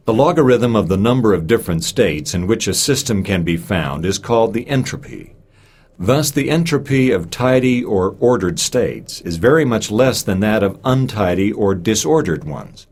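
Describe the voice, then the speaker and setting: A man reading a lecture aloud in a measured way, giving expressive emphasis to key phrases and quotations. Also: on the low side, slightly rough. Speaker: reader of a recorded speech.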